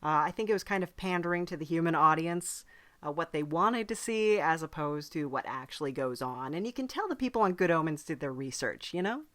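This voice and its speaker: A woman's voice: low voice, animated, fairly quick, no echo. A woman hosting a casual comedy podcast interview, chatty, agreeable and a little hesitant. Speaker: podcast host.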